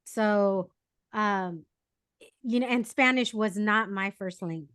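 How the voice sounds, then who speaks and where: A woman co-hosting a podcast, chatting casually and telling animated stories with fillers. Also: medium pitch, takes it slowly, clean tone, no echo, studio quality. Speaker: podcast co-host. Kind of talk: casual chat.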